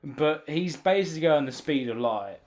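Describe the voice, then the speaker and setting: Animated, insistent man in podcast banter. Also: fairly high pitch, moderate pace. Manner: sweary, argumentative, insistent. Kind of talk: loud casual podcast banter.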